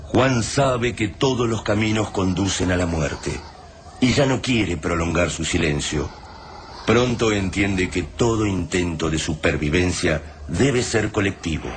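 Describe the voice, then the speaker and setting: An Argentine actor narrating a grave war story for a radio drama in a solemn, literary way. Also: male voice, deep voice, unhurried, a little hiss or hum. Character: grave narrator of a wartime invasion tale. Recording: music behind the voice.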